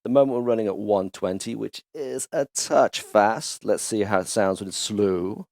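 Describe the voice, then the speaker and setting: Gravelly low-voiced man demoing synths. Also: moderate pace. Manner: relaxed, chatty, enthusiastic demonstrator. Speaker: music production YouTuber. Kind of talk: casual tutorial walkthrough. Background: instrument demo music.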